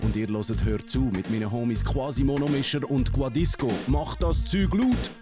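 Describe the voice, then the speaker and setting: A man with a Swiss German accent, a rapper giving a casual, slangy shout-out for a radio show. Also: medium pitch, moderate pace, music in the background. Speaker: rapper sending a radio greeting.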